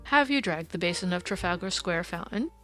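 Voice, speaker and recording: 女性が演じる自信に満ちた皮肉屋の男性探偵シャーロック・ホームズ、抑揚豊か、背景に音楽. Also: female voice, lowish voice, animated, a little fast, a bit bright, faint music behind. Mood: amused. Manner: precise, wordy, teasing. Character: confident, amused, sardonic male detective, gentlemanly and clever.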